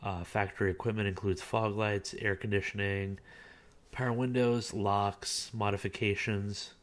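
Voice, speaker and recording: Low-voiced man casually reviewing a car. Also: unhurried. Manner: relaxed, reads listing aloud with filler uhs. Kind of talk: casual screen-recorded commentary.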